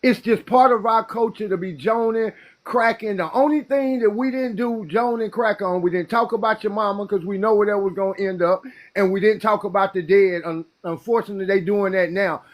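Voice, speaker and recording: A man in his fifties arguing forcefully, lecturing the others in a casual debate. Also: high-pitched, fairly even intonation, fairly quick. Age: 50s.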